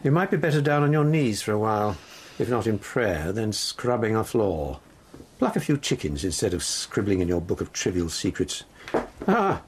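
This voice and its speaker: An actor playing a haughty, sanctimonious palace priest in a radio drama, needling and moralising with a husky voice. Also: male voice, lowish voice, big pitch swings, normal speed, a little hiss or hum. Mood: mocking.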